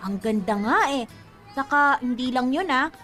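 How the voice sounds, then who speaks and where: A woman's voice: sits high, moderate pace, a bit bright, faint music behind. A woman playing a bratty, boastful, nosy little girl in a radio drama, with a childlike, needling delivery.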